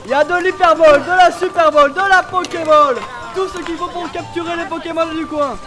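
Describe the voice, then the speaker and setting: A man's voice: extremely high pitch, fairly even intonation, brisk, sharp tone, a faint crowd, pitched above the performer's own voice. A man putting on the voice of an eager cartoon shopkeeper hawking his wares. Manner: calling out sales patter. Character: shrill, eager market vendor hawking wares. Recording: music behind.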